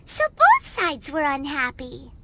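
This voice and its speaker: A woman voicing a tiny, chirpy, curious fairy companion with a squeaky childlike voice in a video game. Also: huge pitch swings, measured pace, a little hiss or hum, heavily acted. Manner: refers to self in third person. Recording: loud game music behind.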